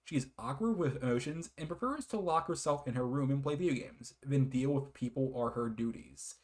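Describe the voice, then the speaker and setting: American man, game reviewer, mid-pitched voice, casual rambling delivery, self-correcting, apologetic, enthusiastic. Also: brisk, a little mellow. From United States.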